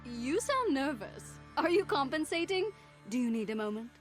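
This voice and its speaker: A woman's voice: high-pitched, very animated, normal speed, soft background music. An actress voicing a joyous, boastful young woman warrior in a video game, grand and theatrical, proclaiming her fearlessness. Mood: mocking. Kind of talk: video game champion voice acting.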